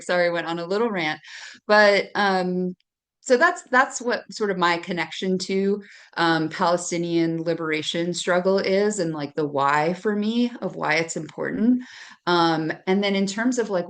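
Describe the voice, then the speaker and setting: Low-voiced young woman from hawaii, earnest panel talk, lots of ums. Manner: earnest, many um fillers, occasional laughs.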